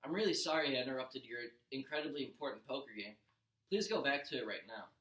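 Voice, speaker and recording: A man's voice: medium pitch, expressive, fast talker, pushed and bright. A man voicing an earnest, resentful, sarcastic schoolboy in a storybook read-aloud. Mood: sullen, sarcastic. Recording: roomy echo.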